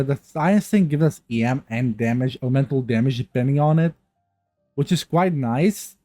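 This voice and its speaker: A man's voice: medium pitch, mellow, dry recording. Stuffy-nosed man rambling over gameplay. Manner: rambling, many restarts and fillers. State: stuffy nose from a cold. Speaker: gaming youtuber. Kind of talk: casual gameplay commentary. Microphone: new mic, untuned.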